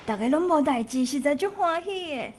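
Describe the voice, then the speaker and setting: A woman's voice: high voice, animated, brisk. A woman playing a cheerful townswoman in a scripted folk-legend story.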